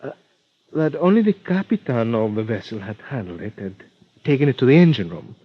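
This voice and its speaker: An actor playing an earnest, grieving doctor with a Spanish accent, speaking formally and haltingly in vintage radio drama style. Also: male voice, mid-range voice, sing-song, breathy edge. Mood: agitated. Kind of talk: 1940s-50s radio drama acting. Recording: dull vintage radio recording.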